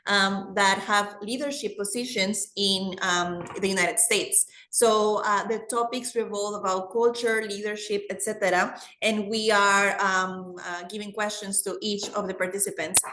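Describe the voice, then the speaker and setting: A woman's voice: mid-range voice, steady tone, measured pace, hardly any pauses, pushed and bright. A woman moderating an online panel over a video call, encouraging and full of hesitant fillers. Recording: echoey room.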